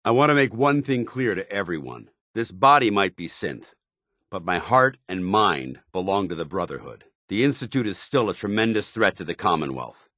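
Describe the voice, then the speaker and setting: An actor playing an earnest, honour-bound soldier paladin in a video game, with a firm voice and grave, formal, deliberate delivery. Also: male voice, low voice, lively intonation, bright, forceful tone, no echo, studio quality. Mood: resolute. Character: earnest, honour-bound military paladin, a synthetic man troubled by his identity. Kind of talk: dramatic video game dialogue.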